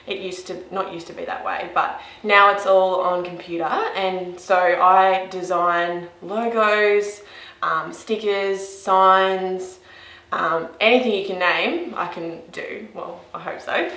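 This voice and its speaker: A woman's voice: on the low side, steady tone, slow, fairly bright tone. An Australian woman in her twenties chatting cheerfully and informally in a casual interview. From rural Victoria, Australia. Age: 20s. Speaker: interviewee, graphic designer. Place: small office indoors, echoey. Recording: echoey room sound.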